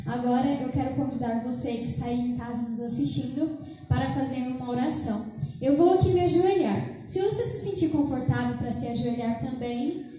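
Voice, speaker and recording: High-voiced Brazilian woman in an echoey church. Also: a little fast, dark tone, a little hiss or hum. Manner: earnest, pleading, with repeated addresses to God. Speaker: worship leader praying at an evangelism service. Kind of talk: spoken prayer at a livestreamed church service.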